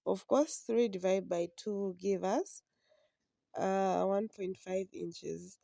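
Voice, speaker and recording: Hoarse woman teaching slowly. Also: mid-range voice, steady tone, warm tone, no room sound, studio quality. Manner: slow, patient instructions. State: hoarse from a flu. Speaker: sewing instructor. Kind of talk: step-by-step drafting tutorial.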